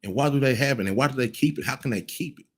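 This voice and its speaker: A man's voice: medium pitch, fast talker, breathy edge. A man in his thirties giving casual cultural commentary, blunt and profane, rambling with frequent restarts. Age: 30s.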